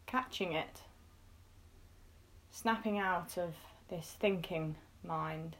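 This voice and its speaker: Woman, guided meditation, lowish voice, very slow pace, gentle instructions, reassuring, spacious pauses. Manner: gentle, unhurried, reassuring, with pauses between short phrases.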